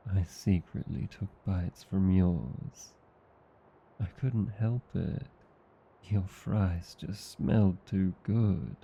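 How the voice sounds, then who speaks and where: Man acting a deep, gravelly Australian vampire husband. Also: dull audio, heavily acted. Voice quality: low, gravelly, husky. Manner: languid, soft, tender murmuring. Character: affectionate, drowsy newlywed male vampire husband. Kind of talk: intimate ASMR roleplay.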